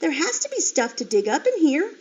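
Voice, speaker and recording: A woman voicing an adventurous young female piglet with excitable squeals, on a dull lo-fi recording. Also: sits high, a little fast, pitched above the performer's own voice. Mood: eager.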